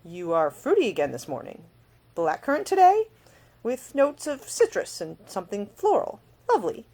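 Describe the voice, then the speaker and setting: Woman voicing a suave, teasing gentleman rogue, lively intonation. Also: medium pitch, normal speed, pitched above the performer's own voice. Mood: amused, suave. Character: cheery roguish idle gentleman, large, suave and teasing. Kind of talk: audiobook character dialogue.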